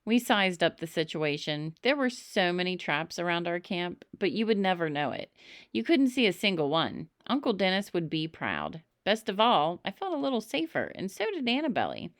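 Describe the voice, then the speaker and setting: A woman reading a children's story aloud in an expressive narrating style, with emphatic, dramatic phrasing. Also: on the low side, normal speed, dry recording, studio quality. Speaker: storybook reader.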